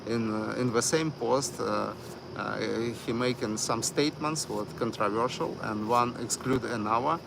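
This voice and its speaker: A man hosting a live stream, talking slowly with many fillers and broken grammar. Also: mid-range voice, vocal fry, background noise. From United States. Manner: hesitant, many fillers, non-native grammar. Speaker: livestream host.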